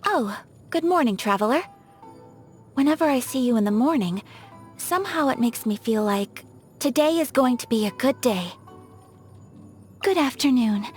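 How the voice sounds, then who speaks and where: A woman playing a gentle, refined young noblewoman in a video game, speaking with formal, poetic, soft-spoken politeness. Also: sits high, animated, moderate pace, pauses often. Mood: gentle, warm. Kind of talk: scripted video game character voice lines. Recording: music behind the voice. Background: music.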